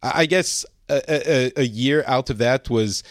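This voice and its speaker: A man hosting a podcast interview, talking in a casual, halting way with many fillers and restarts. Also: medium pitch, dry recording, studio quality. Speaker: podcast host.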